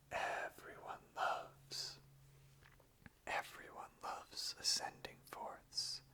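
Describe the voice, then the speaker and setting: An ASMR host of unclear sex speaking softly and deliberately in a breathy, unvoiced whisper. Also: mid-range voice. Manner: soft, slow, deliberate. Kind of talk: whispered ASMR, slow reading and soft chat.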